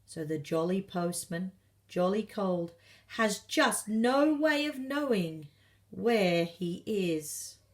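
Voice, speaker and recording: A woman reading a children's picture book aloud in an expressive way, with dramatic pauses and emphasis. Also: medium pitch. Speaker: storybook reader.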